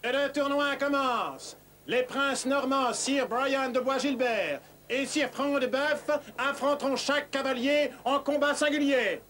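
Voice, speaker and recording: A man's voice: very high-pitched, measured pace, a bit bright, slight background noise. A man voicing a pompous cartoon tournament herald, announcing ceremonially.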